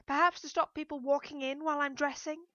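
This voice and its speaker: A woman's voice: mid-range voice, fairly quick, a bit bright, dry recording, highs cut off, lightly acted. A woman voicing a headstrong, sarcastic woman of twenty-four in an audiobook, firm, curt and irritated.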